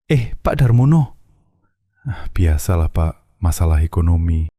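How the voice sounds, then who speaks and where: An actor voicing a poor, meek satay-seller husband with a Javanese accent, timid and pleading in a cartoon drama. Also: male voice, low voice, sing-song, unhurried, noisy background.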